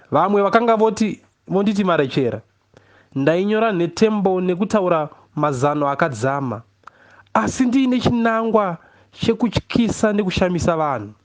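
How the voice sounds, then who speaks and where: A man with a Zimbabwean accent reading a literary story aloud as an audiobook narrator. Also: sits high. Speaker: audiobook reader, poet.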